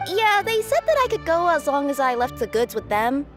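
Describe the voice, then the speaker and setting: A cheerful young cat spirit who delivers parcels, often hesitating and sheepish. Her voice is high and light, and game music plays behind her. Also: sing-song, a little fast. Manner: hesitant, sheepish, with many filler sounds. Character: young female cat yokai courier, cheerful but nervous. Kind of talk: game voice acting. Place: recording studio.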